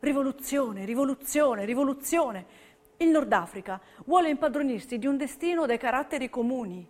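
A woman's voice: high voice, animated, moderate pace, a little mellow. A woman giving scripted theatrical narration in rhythmic, emphatic, dramatic phrases. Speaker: stage narrator.

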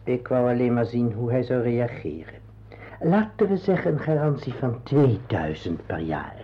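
A man acting a pushy, menacing script-agency boss in a radio play, smooth-talking but quick to bark orders. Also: medium pitch, animated, natural voice. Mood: smooth, persuasive. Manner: domineering, curtly barks orders, smooth-talking when persuading. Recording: dull, slightly noisy old radio recording.

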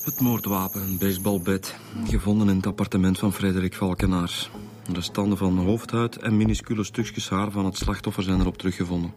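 An actor with a Flemish accent playing a matter-of-fact, dryly amused police detective in a radio drama. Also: male voice, low voice, level tone, fairly quick, darkish tone, lightly acted. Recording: music behind the voice.

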